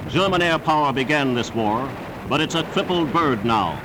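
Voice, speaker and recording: A man's voice: mid-range voice, animated, moderate pace, background noise. A man reading scripted 1940s newsreel narration in a formal, emphatic, declamatory manner on a vintage soundtrack.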